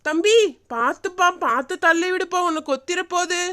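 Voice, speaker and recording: A woman voicing a folksy, fussing elderly village grandmother in an animated story. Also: very high-pitched, normal speed. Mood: worried. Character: concerned elderly village grandmother, easily alarmed.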